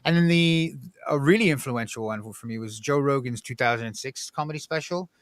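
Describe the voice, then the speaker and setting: A man who grew up in Egypt talks casually as a podcast guest, blunt and profane, swerving through stories with animated bursts. His voice sits at an ordinary middle pitch. The sound is close and dry, with no room around it. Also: fairly quick, no room sound.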